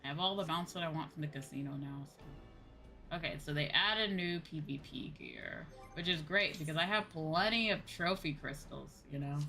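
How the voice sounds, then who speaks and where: A woman livestreaming a game in casual, excitable chatter, often exclaiming as she talks. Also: deep voice, normal speed, creaky, soft background music.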